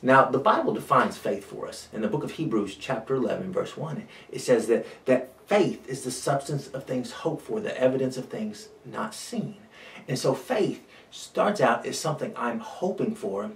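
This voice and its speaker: A man speaking as a pastor in an earnest, measured devotional sermon, repeating key phrases with pauses. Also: mid-range voice, creaky. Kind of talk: short devotional sermon talk. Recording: roomy echo, distant microphone.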